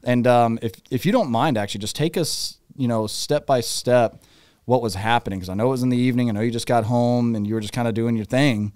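A man in his thirties hosting a podcast interview in a studio, upbeat and relaxed with easy fillers, speaking into a studio mic. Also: mid-range voice, fast, smooth, no room sound. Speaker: podcast host. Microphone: studio mic, headphones.

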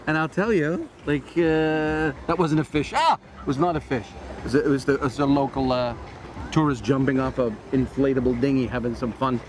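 A man's voice: medium pitch, a little fast. A man in his forties hosting a casual travel interview outdoors on a beach, talking in a chatty, joking way with many restarts. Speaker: travel news host. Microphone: lapel mic. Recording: outdoor beach noise behind.

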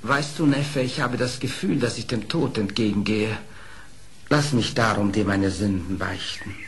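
An actor playing a sly, smooth-tongued fox in a radio play, speaking in a formal, theatrical, pleading manner. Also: male voice, lowish voice, measured pace, fairly bright tone. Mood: solemn. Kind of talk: classic German radio play acting. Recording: old radio play record, noisy.

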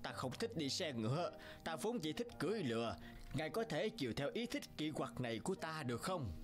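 A man voicing an easygoing, eccentric old man in a cartoon fairy tale, carefree and unhurried. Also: on the high side, big pitch swings, over music. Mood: politely quirky.

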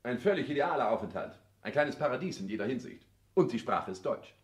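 A man acts a calculating careerist son who speaks in polished, commanding phrases. The delivery is formal and theatrical, in vintage radio play manner. The sound is clearly roomy. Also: mid-range voice, expressive, brisk, lightly acted. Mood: persuasive, eloquent. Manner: formal, declamatory, commanding stage diction. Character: calculating, domineering careerist son, coldly eloquent. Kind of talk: 1960s German radio play acting.

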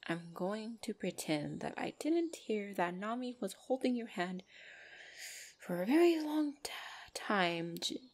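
A woman's voice: mid-range voice, sing-song, takes it slowly, pauses often. A woman playing a loving, bashful and protective orc girlfriend in an intimate ASMR roleplay, speaking tenderly and hesitantly. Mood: relieved, happy, teasing. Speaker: voice actor in audio roleplay.

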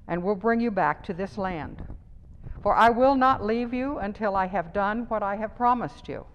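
An older woman reads scripture in a measured, even voice, muffled slightly by a face mask. Her pitch sits in the middle range. The sound carries the long reverb of a small church. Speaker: lay reader. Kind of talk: scripture reading. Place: small church chancel, indoors. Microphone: wearing a face mask, far camera.

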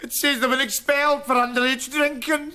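An actress playing a sharp-tongued Scottish mother in a comedy sketch, speaking with a Scottish accent in a teasing, scolding way. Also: high voice, fairly even intonation, brisk, background noise, natural voice.

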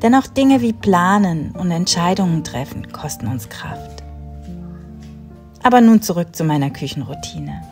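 A woman in her thirties calmly narrating a home cleaning routine in a voice-over, giving step-by-step tips straight to the viewer. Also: on the low side, a little slow, faint music behind. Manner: calm, instructive, talks directly to the viewer. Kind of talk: calm scripted voice-over narration.

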